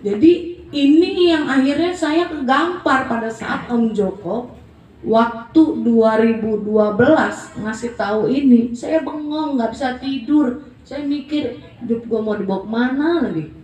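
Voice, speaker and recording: A woman's voice: sits high, unhurried, darkish tone, reverberant, far from the mic, low-quality recording. A woman in her forties giving a blunt, emphatic motivational talk in a casual, colloquial way. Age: 40s. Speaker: network marketing presenter.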